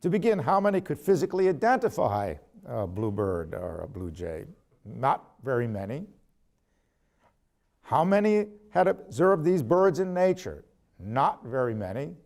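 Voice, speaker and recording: An older man, a professor, lecturing from a prepared text in a deliberate, measured way with emphatic pauses, quotations and asides. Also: mid-range voice, very animated, gravelly, darkish tone. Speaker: ethics professor giving a lecture.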